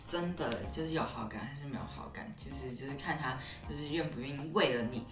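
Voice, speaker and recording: A woman's voice: extremely low pitch, fast talker, soft background music. A woman co-hosting a podcast, explaining things in a conversational way with repeated connecting words and agreements. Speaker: podcast co-host. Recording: roomy echo, slightly distant mic.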